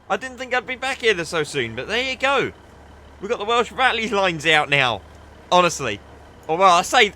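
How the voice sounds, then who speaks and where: A man's voice: sits high, sharp tone, a little hiss or hum. A young man giving chatty, enthusiastic commentary while playing a train simulator, often restarting his sentences. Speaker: gaming video creator. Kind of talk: casual gameplay commentary.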